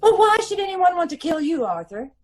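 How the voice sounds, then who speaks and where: A woman's voice: very high voice, sing-song, a little fast. An American woman playing a plucky, teasing detective's wife in an old-time radio comedy, arch and playful. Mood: surprised, curious.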